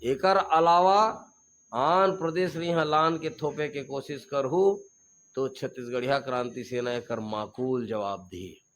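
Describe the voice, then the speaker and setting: A man's voice: medium pitch, measured pace, fairly bright tone, low-quality recording. A man with a Chhattisgarhi accent, a regional activist giving fiery, emphatic interview answers and repeating slogans.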